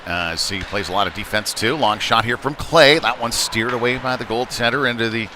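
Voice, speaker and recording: A man giving live play-by-play commentary on ice hockey in a halting way, with frequent pauses. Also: mid-range voice. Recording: noisy live rink broadcast.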